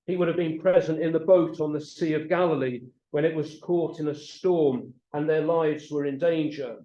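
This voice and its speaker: A man delivers a sermon in a measured, explanatory storytelling manner. His voice is mellow and a little high for a man. The room echoes around him and the recording sounds slightly dull. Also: steady tone. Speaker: preacher. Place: church meeting room, also streamed online.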